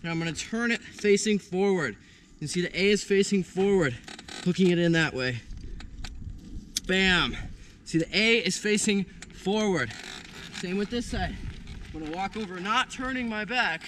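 A young man teaching paramotor hookup outdoors in an open field, energetic and punctuating steps with punchy exclamations. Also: sits high, normal speed, long pauses, a little hiss or hum. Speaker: paramotor instructor. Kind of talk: hands-on outdoor demonstration.

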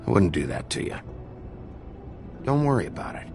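Game casting: weary gruff lawman, terse gravel voice with wide pitch movement, score underneath. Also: sing-song, moderate pace, heavily acted. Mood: low, reassuring. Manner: terse, gruff, low-key. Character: gruff, weary sheriff, a brooding grown man. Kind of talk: video game noir voice acting. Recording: music behind the voice.

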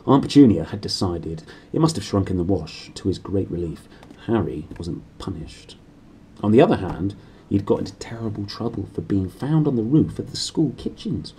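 A man's voice: lowish voice, expressive, moderate pace, dark tone, a little hiss or hum. A man in his thirties with a British accent, reading a storybook aloud in a small room. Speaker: reader.

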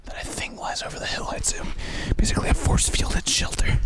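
A man whispering a casual, rambling, mock-spooky ASMR narration as he walks outdoors, recorded on a handheld shotgun mic. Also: fairly quick. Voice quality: breathy whisper. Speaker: vlogger and ASMR creator. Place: outdoors in the woods, walking. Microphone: handheld shotgun microphone.

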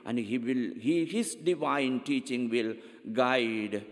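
Middle-aged priest preaching real slow at the pulpit, repeats himself a lot, big echoey church. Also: male voice, medium pitch. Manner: slow, deliberate preaching with frequent repetitions. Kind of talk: sermon. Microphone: pulpit microphone, far camera. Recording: long church reverb.

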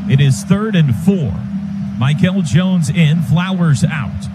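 High-pitched American football play-by-play man, noisy stadium. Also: slow pace. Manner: clipped phrases, excited calls rising with the action. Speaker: radio play-by-play announcer. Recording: loud stadium noise behind radio broadcast. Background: stadium ambience.

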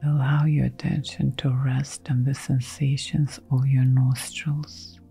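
A woman guiding a relaxation meditation in a soft, breathy half-whisper, giving gentle, soothing instructions with long pauses. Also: very deep voice, flat delivery. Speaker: meditation guide. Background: background music.

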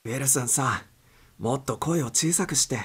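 A man's voice: medium pitch, moderate pace, breathy edge, voice pushed up. A man voicing a male airline captain in a story reading, firm, restrained and losing patience. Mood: firm, exasperated. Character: stern airline captain, male, calming and impatient.

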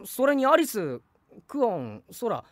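A woman's voice: a little high, very animated, measured pace, a little hiss or hum. A woman playing an emotional, boyish teenage schoolboy in an anime audio drama. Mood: bewildered. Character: teenage schoolboy, melodramatic, self-loathing, boyish.

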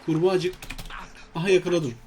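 High-voiced man commentating on a video game. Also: level tone, a little fast, a little mellow, soft background music. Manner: exclamatory, talks to himself and to viewers. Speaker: gaming video host.

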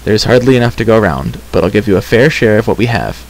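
A man's voice: lowish voice, lively intonation, fast talker, low-quality recording. Man playing an earnest young hero, hissy recording. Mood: stern. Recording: clear background hiss.

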